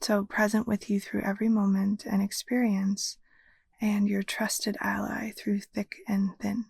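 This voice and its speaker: A woman guiding a meditation as a yoga nidra teacher, speaking in a soft, soothing, breathy near-whisper. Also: medium pitch, level tone, measured pace, no room sound. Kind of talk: guided meditation narration.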